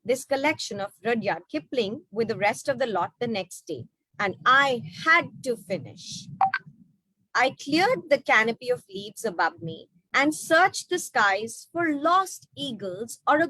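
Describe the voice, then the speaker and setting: A woman tells a children's story expressively. Her voice is fairly high and her pace is slow, recorded dry with little room sound. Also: husky, no echo, poor audio. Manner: expressive, asks rhetorical questions. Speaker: storyteller at an online children's book reading.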